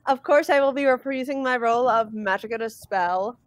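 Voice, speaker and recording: A woman's voice: a little high, fairly quick, no room sound. A woman voice actor chatting casually on a livestream. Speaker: amateur voice actor in a cast.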